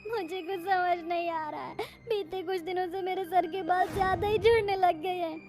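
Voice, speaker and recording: A woman's voice: very high-pitched, steady tone, normal speed, darkish tone, soft background music. A woman playing a meek, naive young daughter-in-law in a cartoon moral story, speaking earnestly and plaintively. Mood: worried.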